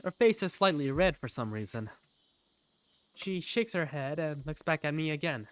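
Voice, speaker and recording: A woman's voice: deep voice, lively intonation, normal speed, no room sound. A woman reading scripted first-person story narration for a fan-dubbed game.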